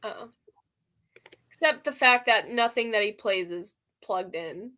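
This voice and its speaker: A woman co-hosting a livestream in casual group chat, playful and teasing, quick to laugh. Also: mid-range voice, expressive, bright, forceful tone. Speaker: co-host of a livestream.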